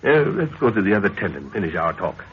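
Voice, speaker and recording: An actor playing a middle-aged, authoritative adventurer who talks in a measured, reassuring way in an old radio serial. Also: male voice, low-pitched, big pitch swings. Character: calm, authoritative older British adventurer, former secret agent. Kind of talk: 1930s radio adventure acting. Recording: muffled, hissy 1930s radio recording.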